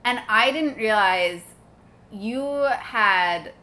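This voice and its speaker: A woman's voice: medium pitch, very slow, pushed and bright. A young woman from Manhattan with a neutral American accent, co-hosting a casual chat with her mother and talking loosely with many fillers. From Manhattan, New York. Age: young adult. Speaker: podcast co-host. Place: apartment.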